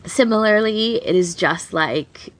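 A woman's voice: medium pitch, measured pace, dry recording. A woman podcast co-host chatting casually and informally as she gives recommendations, often breaking off and rephrasing. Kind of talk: casual chat, giving recommendations.

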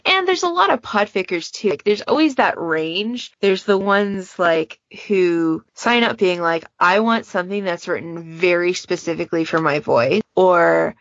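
A woman's voice: fairly low pitch, animated, measured pace, hardly any pauses, dry recording, muffled recording. A woman in a casual podcast discussion, talking in a chatty, discursive way and acting out little quotes as examples. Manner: chatty, discursive, illustrates points with imagined quotes. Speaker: podfic challenge moderator.

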